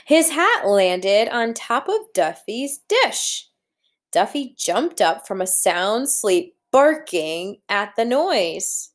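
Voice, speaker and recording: A woman's voice: mid-range voice, very animated, slow. A woman teacher reading a storybook aloud to young children, talking to them directly and posing questions as she guides them along. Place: indoors at a computer.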